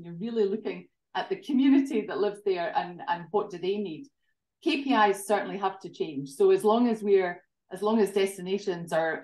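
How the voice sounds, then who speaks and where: A woman's voice: medium pitch, darkish tone, roomy sound, low-quality recording. A Scottish woman in her forties, a tourism association director, talking articulately as an interview guest over a video call from her home. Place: home living room. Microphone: laptop webcam video call.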